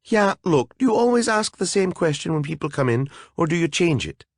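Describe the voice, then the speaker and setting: A man doing a shrill, petulant fairy girl. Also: very animated, brisk, no echo, clean studio sound. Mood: anxious. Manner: whiny, flippant, high and squealing. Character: petulant tiny female fairy, vain and shrill.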